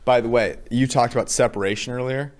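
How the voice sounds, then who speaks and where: A man's voice: mid-range voice, fairly even intonation, quick pace. A man co-hosting a casual football podcast. He moves the talk along with dry, teasing asides and direct questions to his partner. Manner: wry, teasing, steers the talk. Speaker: co-host. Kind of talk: casual sports chat.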